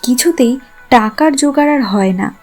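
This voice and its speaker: A woman's voice: high-pitched, animated, moderate pace, darkish tone, faint music behind. A woman reading a scripted literary story as its narrator. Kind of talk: scripted literary narration in formal Bengali prose.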